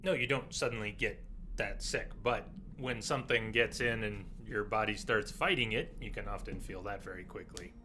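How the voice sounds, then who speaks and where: A hoarse man with a sore, scratchy throat, chatting casually through a live game stream and clearing his throat often. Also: lowish voice, normal speed, sharp tone. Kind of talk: casual live gameplay commentary.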